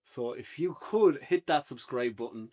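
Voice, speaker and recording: A man with an Irish accent co-hosting a relaxed, unscripted review conversation. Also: mid-range voice, moderate pace. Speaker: podcast co-host and reviewer. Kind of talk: casual unscripted review chat.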